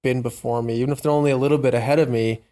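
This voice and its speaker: A man hosting a podcast, reflecting casually in thoughtful, halting phrases. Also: medium pitch, fairly even intonation, mellow. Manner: thoughtful, halting phrasing, frequent short affirmations. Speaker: podcast host.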